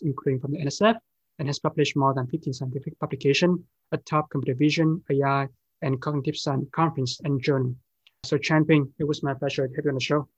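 Man, podcast host, interview questions, quick talker, dry recording. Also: fairly high pitch, dry recording, dull audio. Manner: quick, reads questions with fillers.

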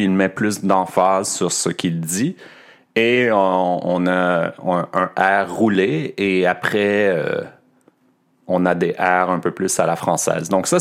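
A man with a Québécois French accent hosting a podcast lesson, explaining things carefully with frequent hesitations. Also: fairly low pitch. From Quebec. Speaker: podcast host and French teacher.

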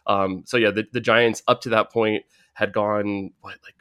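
A man from the Bay Area with an American accent, hosting a casual sports podcast in a halting, rambling, filler-heavy way. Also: on the low side, flat delivery, a bit bright, clear, resonant tone, no echo, studio quality. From San Francisco Bay Area, United States. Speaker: podcast host.

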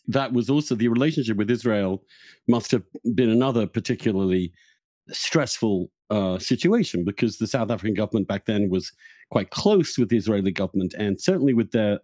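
Mellow, halting male podcast host. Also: on the low side, animated, dry recording. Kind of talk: casual, thoughtful interview talk.

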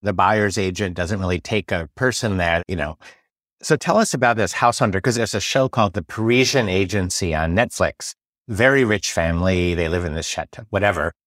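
Chatty low-voiced American man. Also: brisk, fairly bright tone, no echo, clean studio sound. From United States. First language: English. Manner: chatty, tells anecdotes with quoted voices. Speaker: podcast host. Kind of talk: casual interview chat.

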